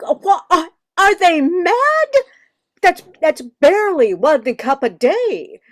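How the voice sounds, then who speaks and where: A woman acts out a controlling 1940s mother for a radio play. Her voice is high and swoops widely, close and dry. She is fussy, emphatic and quick-witted in her exasperation. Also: sing-song, no room sound, heavily acted. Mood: indignant. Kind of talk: amateur radio play acting.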